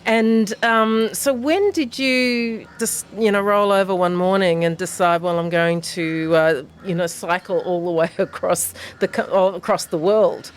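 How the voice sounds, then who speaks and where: A woman with an Australian accent hosting a radio interview, bubbly and quick to laugh. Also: lowish voice, steady tone, clean tone. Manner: bubbly, laughs, warm questions. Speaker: radio host.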